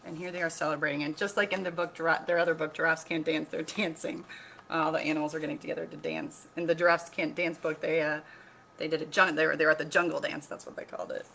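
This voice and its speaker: A woman reading a picture book aloud like a teacher, pausing to explain, ask questions and repeat key points. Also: deep voice, little pitch movement, creaky, sharp tone, slight background noise. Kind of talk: read-aloud storytelling with explanatory asides.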